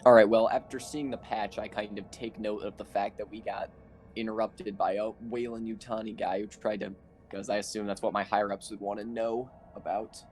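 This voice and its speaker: A man's voice: lowish voice, level tone, a little fast, darkish tone, soft background music. A man chatting casually as a player in a tabletop roleplaying game.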